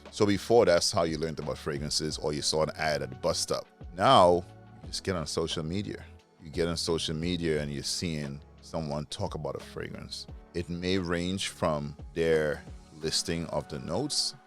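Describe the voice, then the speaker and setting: A man who grew up in Trinidad, answering interview questions with enthusiasm, sometimes pausing to search for words. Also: extremely low pitch, soft background music. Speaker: interview guest, fragrance reviewer. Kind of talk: conversational interview.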